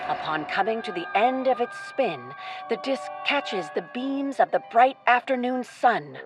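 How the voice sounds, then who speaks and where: A woman's voice: fairly high pitch, rough recording. Theatrical woman narrator over music. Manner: theatrical, deliberate phrasing. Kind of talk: dramatic scripted story narration.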